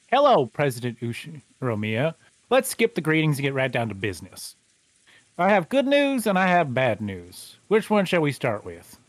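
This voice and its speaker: A formal, middle-aged lawyer, played by a man delivers grave news stiffly and trips over his words. His voice is lively in pitch. The recording is close and dry. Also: normal speed, no room sound, poor audio. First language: not Japanese. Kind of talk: fan livedub of a visual novel.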